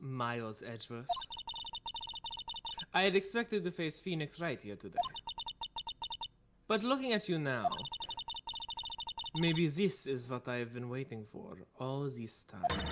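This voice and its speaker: A man's voice: a little high, sing-song, moderate pace, heavy background noise. A man voicing a haughty young female prosecutor with a whip, in a stiff, theatrical put-on accent, reading game dialogue aloud. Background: game music.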